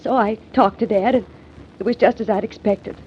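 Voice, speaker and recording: A woman's voice: medium pitch, moderate pace, a little hiss or hum, lightly acted. A woman as a hesitant young singer. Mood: anxious. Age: young adult. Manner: hesitant, faltering speech. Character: young female singer, anxious and earnest. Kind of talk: 1940s radio acting. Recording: dull old radio recording.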